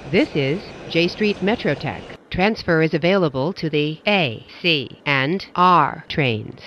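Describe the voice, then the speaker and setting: Robotic-sounding train announcer, flat steady delivery, kinda dull lo-fi audio. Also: medium pitch, slight background noise. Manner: flat, measured, evenly paced phrasing. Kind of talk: automated transit announcements.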